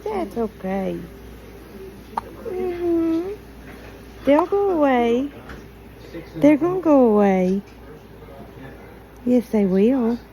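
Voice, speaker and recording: Woman cooing slow, very high baby talk. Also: big pitch swings, crowd noise, poor audio. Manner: doting, repetitive, sing-song soothing.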